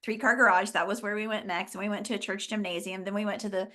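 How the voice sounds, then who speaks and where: A middle-aged woman from Oklahoma, a business founder chatting as a guest, telling her story with quick laughs. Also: mid-range voice, fairly even intonation, sharp tone. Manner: chatty, laughs often, upbeat. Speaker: franchise founder, interview guest. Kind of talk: casual storytelling.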